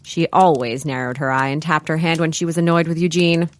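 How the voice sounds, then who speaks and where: A woman's voice: sits low, little pitch movement, a little fast. A woman narrating a comedic audio drama from a script, in a mock-dramatic storytelling manner.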